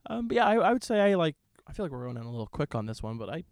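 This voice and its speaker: A man co-hosting a film review podcast in a casual, conversational way, offering measured and qualified opinions. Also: on the high side, sing-song, clean tone, no room sound, clean studio sound. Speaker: podcast co-host reviewing films.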